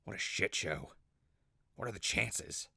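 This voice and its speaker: An actor playing a sardonic, laid-back ghoul survivor in an audio drama, raspy and gravelly, with a casual, teasing way of talking. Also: male voice, low-pitched, lively intonation, unhurried, pushed and bright, no room sound, clean studio sound, heavily acted. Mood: animated disbelief. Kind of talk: post-apocalyptic audio drama acting.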